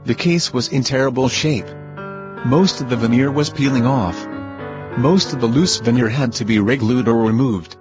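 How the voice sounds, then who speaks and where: A man reading a plain, steady narration about a restoration. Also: medium pitch. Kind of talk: read narration over music. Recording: voice-over with music behind.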